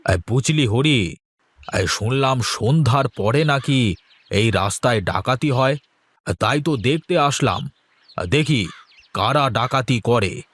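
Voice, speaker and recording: A man's voice: medium pitch, lively intonation, slow pace, long pauses, fairly bright tone. A man voicing a pompous, blustering older village council head in a folk-tale cartoon, with hesitant, repetitive speech. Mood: boastful. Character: pompous older male village council head, boastful but easily rattled.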